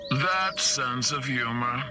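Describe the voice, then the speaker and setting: An actor playing a dry, guarded general in a video game, a knowing adversary who speaks deliberately. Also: male voice, medium pitch, close to an everyday voice. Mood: coolly taunting. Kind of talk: video game cutscene acting. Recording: music under the voice.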